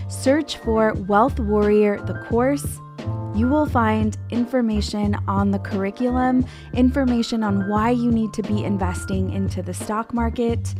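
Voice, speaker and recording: Woman podcast host explaining, music behind. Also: mid-range voice. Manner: instructional, explains terms step by step. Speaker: podcast host, financial educator. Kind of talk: explanatory podcast narration with direct address to listeners.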